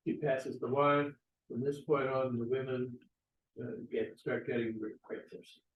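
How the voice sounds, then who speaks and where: A man's voice: mid-range voice, fairly even intonation, a little slow, dull audio, slight background noise. A man narrating as the reader of stage directions, in a scripted style. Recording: roomy, echoey, distant microphone.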